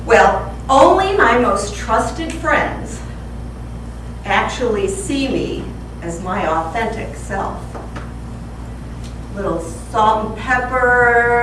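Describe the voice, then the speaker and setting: A woman in her sixties delivers a humorous speech at a speaking club, teasing and drawing in her listeners. Her voice is high and bright, and her delivery is unhurried. She is recorded from a distance in a very echoey meeting room with a faint hiss. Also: expressive. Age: 60s. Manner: playful, teasing, quizzes the audience.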